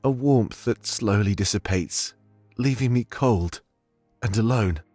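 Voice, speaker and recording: A man's voice: fairly low pitch, unhurried, a little mellow, no echo. An actor playing an earnest, haunted young man, a loyal companion in a visual novel game, confiding with heartfelt emotion. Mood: haunted, grieving. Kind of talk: scripted visual novel character dialogue. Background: background music.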